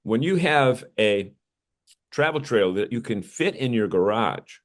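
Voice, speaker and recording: A man's voice: medium pitch, lively intonation, measured pace. A man with an American accent co-hosting an RV news show, talking things over in a casual, conversational way.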